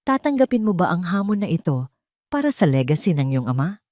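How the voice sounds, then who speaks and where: A grave, frank old businessman and family friend in an audio drama, voiced by a woman. The delivery is measured and formal, in a dry, close recording. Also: fairly low pitch, very animated, darkish tone, dry recording.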